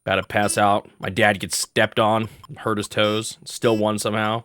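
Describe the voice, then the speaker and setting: A man's voice: fairly low pitch, level tone, moderate pace, fairly bright tone, a little hiss or hum. A young man from the United States chatting casually while playing a game, rambling and laughing often as he restarts his sentences. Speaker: gaming streamer.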